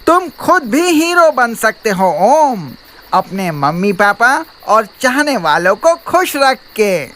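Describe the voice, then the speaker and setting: A woman playing a kindly adult who guides a child through stories in a cartoon, gently instructive. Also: a little high, big pitch swings, slow, heavily acted. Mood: encouraging, instructive. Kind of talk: dubbed cartoon acting, explanatory.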